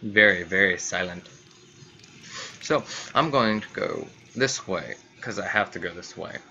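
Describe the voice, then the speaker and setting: A man's voice: sits low, measured pace, sharp tone, muffled recording. A man giving casual let's play commentary, rambling and repeating himself as he thinks aloud, as host of a gaming channel. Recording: faint background noise.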